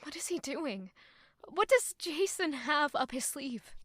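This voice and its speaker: An actress playing a young maid who is defensive and in love, thinking aloud with strong emotion in an audio drama. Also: female voice, high-pitched, animated, a little slow, a bit bright, no room sound, studio quality. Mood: pensive.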